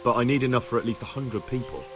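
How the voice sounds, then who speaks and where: A man's voice: medium pitch, fast talker, music in the background, close to an everyday voice. A man acting an earnest young medieval man-at-arms in a video game, polite and straightforward.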